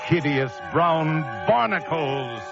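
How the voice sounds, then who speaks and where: A man's voice: fairly high pitch, expressive, slow pace, vocal fry, heavily acted. An actor playing a haunted lighthouse keeper who narrates his ordeal with brooding suspense in a vintage radio thriller. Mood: dread. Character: haunted male lighthouse keeper recounting horror. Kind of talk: dramatic first-person radio thriller narration. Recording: dull vintage radio recording with music.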